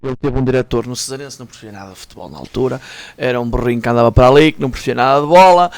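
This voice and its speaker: A man with a mid-pitched voice, telling friendly anecdotes on a radio sports panel. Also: very fast. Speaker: panel commentator.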